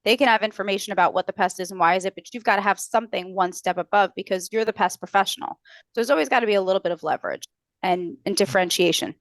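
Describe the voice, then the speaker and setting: A woman's voice: medium pitch, fast talker, no echo. A woman working as a customer service trainer, giving explanatory, instructive advice in a conversational way.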